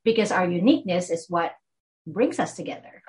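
A woman's voice: fairly low pitch, expressive, a little fast, a little mellow. A woman with a Filipino accent co-hosting a faith podcast, chatty and affirming, often chiming in with small agreements. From Philippines. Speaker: podcast co-host.

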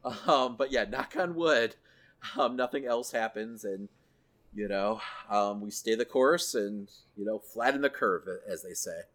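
A man's voice: fairly low pitch, unhurried, a bit bright. A man co-hosting a podcast, chatting casually and thinking aloud as he goes. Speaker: podcast co-host. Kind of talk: casual chat about comic book films.

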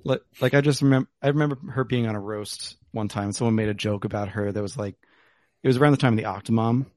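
A man with a low voice talks casually on a podcast, filling his pauses with ums and uhs. The sound is dry and close, with no room around it. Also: darkish tone, dry recording. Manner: rambling, lots of fillers. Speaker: podcast host. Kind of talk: casual chat over whiskey. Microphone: remote call.

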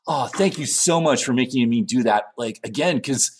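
A man playing an insecure, aging rock singer in an improvised scene, rambling and stammering in a husky voice. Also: mid-range voice, moderate pace, slight background noise, lightly acted. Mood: gushing, grateful. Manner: rambling, stammering, repetitive, sweary. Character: needy, insecure aging male rock singer, past his prime. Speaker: actor in an improvised theater scene. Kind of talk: improvised monologue and dialogue acting.

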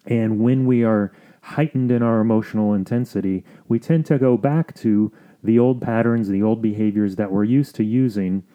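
A man hosting an advice podcast, explaining things in a measured, sometimes halting way. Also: fairly low pitch, highs cut off. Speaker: podcast host.

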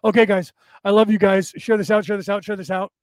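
Raspy, hoarse male host with a high voice, rambling, emphatic, talking straight to his audience. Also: fairly even intonation, quick pace, no room sound. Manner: rambling, emphatic, addresses listeners directly. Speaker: show host. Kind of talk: late-night spiritual talk show monologue.

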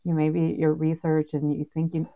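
Low, creaky-voiced woman moderating a panel. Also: completely flat delivery, normal speed, slight background noise. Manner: tentative, rambling questions. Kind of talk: casual interviewing. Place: remote online live panel. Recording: slightly dull online audio.

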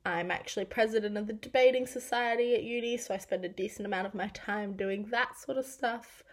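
A woman in her twenties with an Australian accent, hosting a casual solo podcast with a croaky, raspy voice from losing it this week, chatty and self-deprecating. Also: medium pitch, a little fast. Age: 20s. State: croaky, half-lost voice. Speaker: podcast host.

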